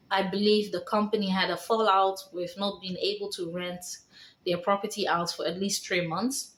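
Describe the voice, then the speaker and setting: A woman from the Netherlands with a light Dutch accent, telling her own story in a vlog in an earnest, halting way with many pauses. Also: on the low side, roomy sound. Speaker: vlogger.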